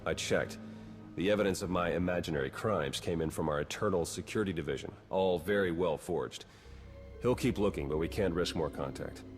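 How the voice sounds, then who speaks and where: Rogue secret agent for a game: deep, dry, understated, music behind. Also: male voice, fairly quick. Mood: wry, businesslike. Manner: wry, understated. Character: dry, wry male spy gone rogue. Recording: clear music behind dialogue.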